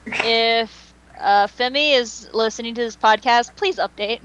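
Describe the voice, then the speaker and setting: A woman's voice: fairly high pitch, unhurried, fairly bright tone, dull audio. A woman co-hosting a casual fan podcast, talking in a joking, playfully dramatic way. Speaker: podcast co-host.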